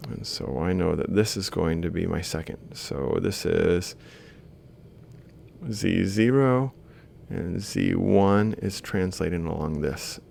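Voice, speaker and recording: A man's voice: deep voice, slow, creaky, darkish tone, slight background noise. A man, giving an instructional university lecture and explaining worked examples into a close desk microphone. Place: small book-lined office, indoors. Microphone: large desk microphone close to the mouth.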